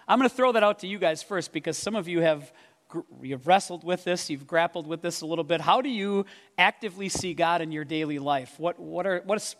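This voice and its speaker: A pastor answers questions from the congregation, his voice sitting a bit high for a man. He speaks casually and works his thoughts out aloud, stumbling and restarting now and then. His microphone runs through the PA and rings out with the long reverb of a church hall. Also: fairly bright tone. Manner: conversational, thinking aloud with restarts. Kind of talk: church Q and A talk. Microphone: microphone over a PA.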